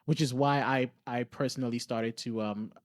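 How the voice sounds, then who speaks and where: A man's voice: mid-range voice, fairly even intonation, moderate pace, no room sound. Earnest man talking on a dry, close podcast. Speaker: podcast co-host. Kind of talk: reflective conversational podcast talk.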